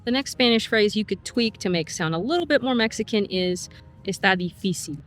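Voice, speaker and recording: Woman, middle-aged, friendly language teacher, outdoor walk-and-talk, soft music under. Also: mid-range voice, lively intonation. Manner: friendly explainer, slows to model phrases. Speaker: Spanish teacher. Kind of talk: casual vlog lesson on a walk. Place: outdoors, walking in a city.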